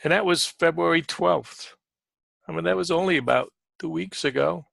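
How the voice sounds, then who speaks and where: A man with a fry-laden, fairly high voice coaches traders in loose, conversational live commentary. He repeats short phrases for emphasis and clears his throat often. The sound is close and dry. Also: normal speed, fairly bright tone, no room sound. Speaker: trading coach giving market commentary. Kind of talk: informal live market commentary.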